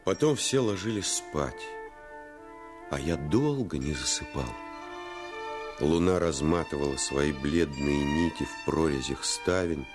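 An actor playing a grown man who recalls his boyhood, narrating a Russian radio play in an expressive, reflective literary style. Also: extremely low pitch. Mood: wistful. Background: music.

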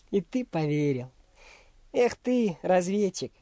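A cocky young boy in a radio drama, with hugely animated pitch and a soft, mellow tone. He teases and talks down to his friend in a dry, close recording. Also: very slow, no room sound, heavily acted. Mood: superior. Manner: superior, teasing. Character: confident, know-it-all young boy, bragging to friend. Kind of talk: radio play acting.

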